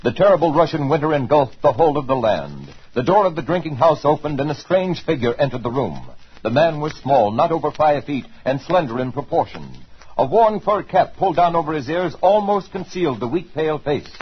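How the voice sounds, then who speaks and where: Male narrator for 1940s radio drama, mid-pitched, husky, very expressive, ominous, dull vintage recording. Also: a little fast.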